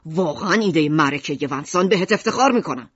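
Woman doing a calm, low-voiced father. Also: breathy edge, dry recording. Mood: soothing. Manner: lowered, soft and even. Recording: dull lo-fi audio.

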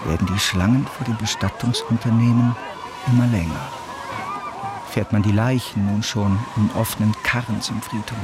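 An actor playing a grave, deliberate chronicler of war, narrating in a scripted radio play. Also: male voice, fairly low pitch, fairly even intonation, music in the background, close to an everyday voice.

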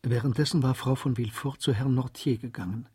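An actor as the composed storyteller of a German radio drama, narrating a classic novel in a husky voice. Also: male voice, mid-range voice, steady tone, brisk, a little mellow.

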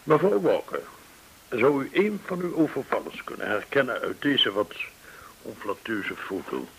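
An actor playing a courteous, shrewd, well-connected informant in a Dutch radio drama, speaking in a formal, deliberate way. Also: male voice, medium pitch, very animated, low-quality recording. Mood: shrewd, probing. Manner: formal, measured, deliberate explaining. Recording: hissy old radio recording.

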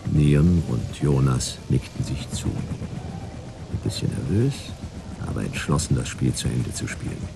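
An actor playing a wry, jaded private detective in a noir radio play, laconic and sardonic in clipped sentences. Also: male voice, rumbling low, vocal fry, noisy background, full character voice. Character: jaded, wry male private detective narrating his case.

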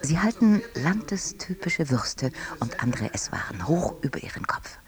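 An actor playing an awestruck co-commentator reporting a state ceremony in a hushed, reverent way in a radio play. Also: male voice, fairly high pitch, sing-song, normal speed, in a whisper.

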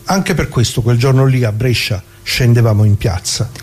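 A man acting out an old retired working man in a radio monologue. His voice is husky and mid-pitched. He rambles good-naturedly and colloquially, repeats himself, and grumbles with indignation straight at the listener. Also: moderate pace, a little mellow, natural voice. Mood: indignant, pitying. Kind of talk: dramatic monologue in radio play.

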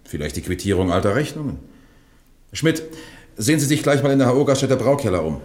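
A man's voice: medium pitch, sing-song, a little fast, a little mellow. A man playing a dry, commanding police captain in a German crime radio play, terse and businesslike. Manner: terse, businesslike, gives orders.

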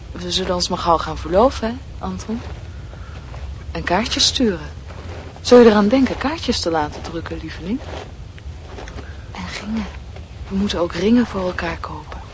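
A woman's voice: fairly low pitch, a little mellow, natural voice. Forthright young woman played by an actress, noisy recording. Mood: coaxing, bossy. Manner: blunt, teasing, insistent. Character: forthright young woman pressing her suitor into engagement. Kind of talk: Dutch radio drama acting. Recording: radio play with clear background noise.

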